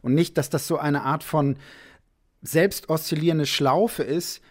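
Man, slightly high pitch, reflective interviewee, musing, emphatic repetition. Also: fairly even intonation, a little slow.

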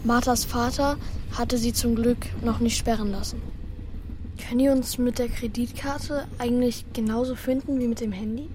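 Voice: mid-range voice, steady tone, moderate pace, noisy background, natural voice. A performer playing a sensitive, hot-tempered boy who narrates and acts in a children's radio play with youthful earnestness. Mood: narrating.

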